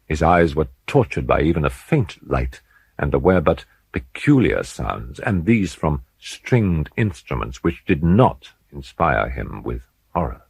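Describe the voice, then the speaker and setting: Male audiobook reader for gothic horror: low, husky voice, solemn and theatrical, recorded dry. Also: very animated, a little mellow, dry recording. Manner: solemn, deliberate, theatrical phrasing. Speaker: audiobook narrator. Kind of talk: scripted dramatic narration of gothic fiction.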